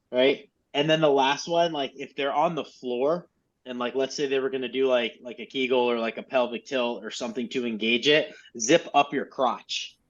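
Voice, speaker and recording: A man coaching a group in casual, informal, mildly profane instructional talk over a lo-fi video call. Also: medium pitch. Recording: dull, lo-fi video call audio.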